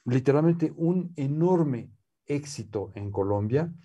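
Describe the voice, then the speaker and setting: Mexican man, interview guest, slow unhurried delivery, warm mellow tone, frequent hesitations. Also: mid-range voice, animated, husky. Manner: slow, many "este" fillers and restarts. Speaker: guest, poet's nephew and scholar. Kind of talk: relaxed conversational interview. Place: living room with bookshelves, seated.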